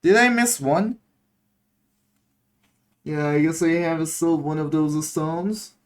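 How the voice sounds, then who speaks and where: Very slow-talking man narrating his gameplay. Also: on the high side. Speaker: gaming streamer. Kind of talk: casual gameplay commentary.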